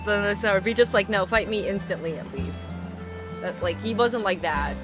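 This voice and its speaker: A woman's voice: medium pitch, moderate pace. A woman giving casual live commentary as a game streamer. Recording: loud game music behind the voice.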